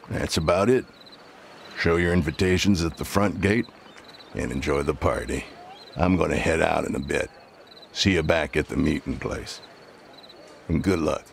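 An actor playing a weary, menacing old Western marshal in a video game, speaking in a slow, dry, deliberate and gravelly way. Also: male voice, rumbling low, a little hiss or hum, exaggerated voice. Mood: calm, instructive. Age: older.

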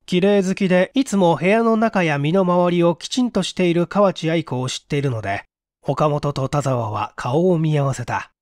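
A man's voice: fairly high pitch, moderate pace, a little mellow, no room sound, clean studio sound. A man narrating a detective story as a dramatic literary reading, acting out the dialogue. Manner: theatrical, acts out the dialogue. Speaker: audiobook narrator.

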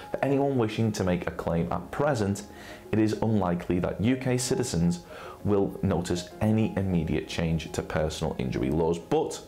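Deep-voiced British man presenting formally. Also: warm tone. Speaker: presenter for a personal injury claims firm. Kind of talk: scripted explainer to camera. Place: indoor studio set with brick wall and armchair. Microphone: lapel mic.

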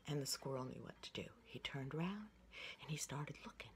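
A woman in her sixties telling a children's story in an expressive, playful, sing-song way. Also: a bass voice, brisk. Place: indoors, room with wooden ceiling. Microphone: phone at arm's length. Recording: noisy home recording with room ambience.

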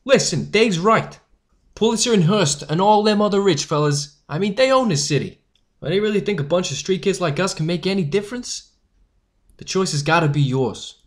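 Defiant streetwise newsboy leader, male actor, higher-pitched, commanding and slangy, for audio drama. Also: animated, moderate pace. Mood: rallying.

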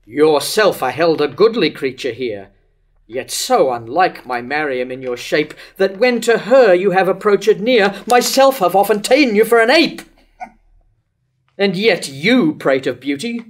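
A man's voice: high voice, sing-song, measured pace. An older man playing a wavering, lovesick king in a declamatory staged reading of verse tragedy. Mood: lovesick, tormented. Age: older, about 60s to 70s. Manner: declamatory, rhetorical questioning. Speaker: actor reading Herod in a staged play reading. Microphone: stand microphone at a lectern.

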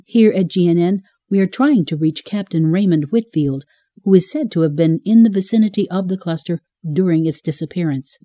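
A woman playing a dark-toned, dry newsreader. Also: on the low side, fairly quick, dry recording, lightly acted. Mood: formal. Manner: formal, even newsreader delivery. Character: female future news anchor, crisp and formal. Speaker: newscaster. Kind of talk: broadcast news reading in a radio drama.

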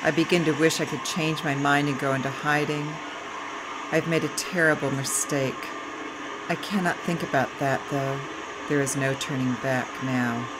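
Low-voiced woman reading on a train. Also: steady tone, heavy background noise. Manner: slow, reflective reading. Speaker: vlogger and author. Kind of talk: reading a memoir excerpt aloud. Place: train compartment.

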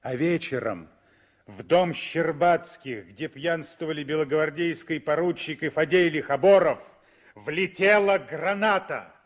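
A man narrating a 1960s radio drama as a lyrical, expressive storyteller with measured phrasing. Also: a little high. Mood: grave. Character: lyrical male storyteller of a civil war tale.

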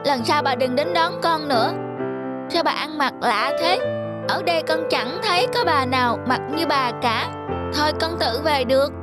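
A woman's voice: sits high, normal speed, natural voice. A woman voicing a spoiled little schoolgirl in a children's cartoon, with a blunt, childish way of talking. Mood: sulky, petulant. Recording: loud music behind the voice. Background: loud children's music.

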